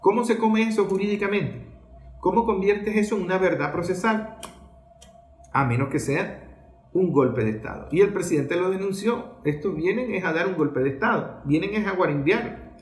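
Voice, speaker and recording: A man's voice: sits high, expressive, normal speed. A man with a Venezuelan accent giving political commentary in an emphatic, rhetorical way, posing questions and answering them. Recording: roomy, echoing sound.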